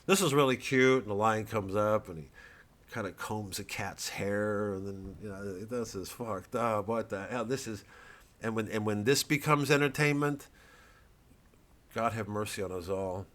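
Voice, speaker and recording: A middle-aged man with a fairly low voice rambles through a casual monologue. He is sardonic and keeps drifting off topic, then bursts into loud, mocking asides. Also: normal speed. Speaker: comedian promoting his work.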